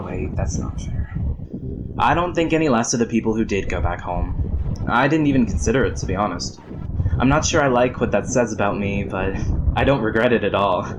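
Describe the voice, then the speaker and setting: An actor playing a wistful, nerdy storm chaser who survived the apocalypse, rambling reflectively in a radio drama monologue. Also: male voice, close to an everyday voice. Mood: wistful, candid. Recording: noisy radio transmission, vehicle rumble.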